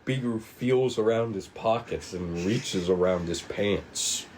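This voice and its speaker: A man reading screen directions aloud at a table read, with measured, dramatic storytelling and casual asides. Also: fairly low pitch, a little mellow, slight background noise, rough recording. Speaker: writer and narrator of a table read. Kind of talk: scripted narration of screen directions.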